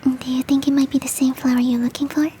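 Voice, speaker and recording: Woman as a high, whispering, sweet yeti girl. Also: little pitch movement, fast talker, slight background noise, lightly acted. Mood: wistful. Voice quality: soft, breathy whispering. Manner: gentle, coaxing, affectionate, self-deprecating. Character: big, sweet, doting female yeti hostess. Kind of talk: whispered ASMR roleplay audio.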